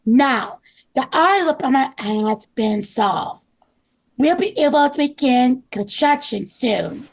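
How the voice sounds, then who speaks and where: Woman playing a smug, slow-talking villain tycoon. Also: high-pitched, expressive, voice pushed down, full character voice. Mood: smug, celebratory. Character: smug scheming villain toasting as hotel developer. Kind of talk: table read of a children's story script.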